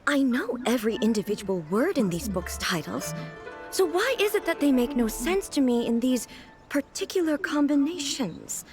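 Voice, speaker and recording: A stately goddess-ruler speaks in a formal, measured way, and her slightly raised voice rises and falls a great deal. She takes everything literally and earnestly. Game music is clearly heard behind her. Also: high-pitched. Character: stately female god-ruler, earnest, formal and puzzled by fiction. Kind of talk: video game voice acting.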